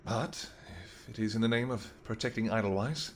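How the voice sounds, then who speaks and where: A man playing a tender, protective vampire king in a roleplay audio drama, speaking formally and earnestly, with halting phrases. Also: lowish voice, a little hiss or hum, natural voice. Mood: gentle, puzzled.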